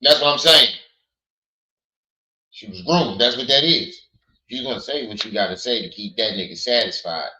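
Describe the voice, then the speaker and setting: A hoarse man hosting a casual livestream, blunt and quick to laugh while greeting his chat. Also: mid-range voice, sharp tone, low-quality recording. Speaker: livestream host.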